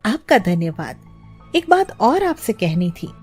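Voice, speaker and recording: A woman's voice: mid-range voice, big pitch swings, unhurried, husky, faint music behind. A woman voicing a middle-aged hotel owner in a children's cartoon story, polite and formal as she receives guests.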